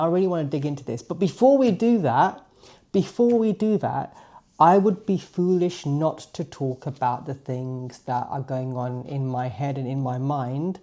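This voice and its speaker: A man's voice: medium pitch, warm tone. A man in his late forties with a British accent, chatting casually to camera in a jokey vlog with playful asides. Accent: British English. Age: 40s. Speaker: vlogger.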